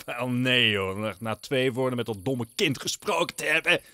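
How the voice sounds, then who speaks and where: A man acts out a smug, pot-bellied rich gentleman from a radio play, haughty and quick to take offence. His swings widely in pitch and stammers when he is affronted. The sound is dry, with no room around it. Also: expressive, no room sound. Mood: contemptuous. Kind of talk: audio drama acting.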